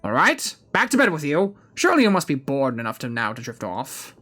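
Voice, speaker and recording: Man putting on a theatrical old gentleman villain, swooping pitch. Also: huge pitch swings, fairly quick, soft background music, full character voice. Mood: fond, teasing. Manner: pompous, theatrical storytelling. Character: aged gentleman villain, gleefully wicked, sentimental, theatrical. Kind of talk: dramatic reading of game story dialogue.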